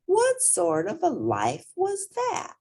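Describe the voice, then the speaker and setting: A woman reading a children's story aloud in an expressive, dramatic, emphatic way. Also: extremely high pitch, takes it slowly. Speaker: storybook reader.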